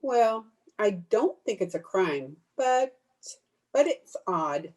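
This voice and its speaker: Actress as mellow-toned, sour, prim cousin. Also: female voice, medium pitch, sing-song, slow pace. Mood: disapproving. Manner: prim, cutting. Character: blunt, disapproving, sour female cousin. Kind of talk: old-time radio drama acting. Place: at home, recorded over video call.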